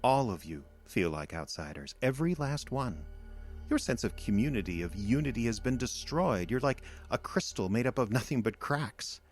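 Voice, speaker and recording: An actor playing a cold, patient, condescending alien invader in an audio drama, lecturing in a measured, smug way with contempt for humanity. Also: male voice, medium pitch, animated, soft background music, close to an everyday voice. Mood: smug, gloating. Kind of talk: audio drama villain monologue.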